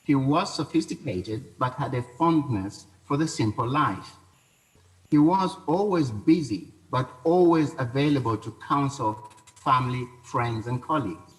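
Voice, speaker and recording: Male eulogist, mid-pitched, formal and solemn, measured scripted delivery. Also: expressive, rough recording. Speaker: funeral eulogy speaker.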